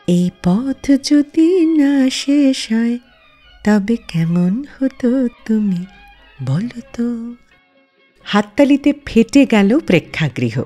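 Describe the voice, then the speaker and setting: A woman hosts a radio show, narrating scripted anecdotes in a warm, mellow voice. Her delivery is animated, with a wide, lively pitch line. Soft music plays faintly behind her. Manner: expressive storyteller, lively rise and fall. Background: faint background music.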